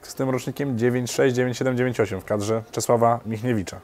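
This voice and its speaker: A man in his thirties interviewing a coach in a lounge, asking long questions in an even tone. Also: medium pitch, fast talker. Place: lounge with armchairs, indoors.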